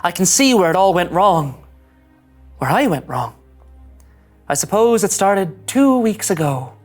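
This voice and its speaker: A woman voicing an anxious, rule-following young male starship ensign in a scripted animated sci-fi read, fretful and earnest. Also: on the low side, unhurried. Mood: troubled, confessional. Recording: music under the voice.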